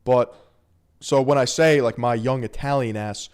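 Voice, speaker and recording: A man's voice: medium pitch, moderate pace, a little mellow, clean tone. A man from the United States giving casual reaction commentary as a streamer, slangy and joking, swearing freely.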